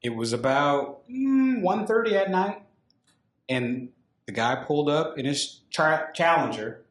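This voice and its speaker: A man hosting a podcast, an animated storyteller who repeats phrases for emphasis. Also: on the high side, unhurried, echoey room. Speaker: podcast host, former firefighter.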